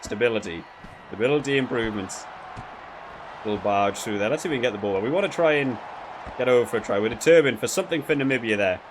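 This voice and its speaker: Man giving halting game commentary over noise. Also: mid-range voice. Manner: rambling, halting, repeats words. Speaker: video game reviewer and player. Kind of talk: casual gameplay commentary.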